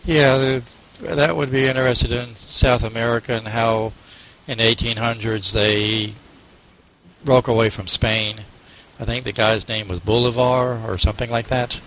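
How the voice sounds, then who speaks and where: A man chatting casually in a book discussion, halting and often correcting himself. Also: medium pitch, steady tone, a bit bright, slight background noise, low-quality recording.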